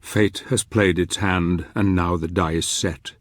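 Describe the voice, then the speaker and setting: An actor playing a grave, brooding male storyteller narrating a dark fantasy game in a portentous, measured, theatrical way, with a husky voice. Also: sits low, steady tone, music in the background. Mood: grave, foreboding. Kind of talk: scripted dramatic narration.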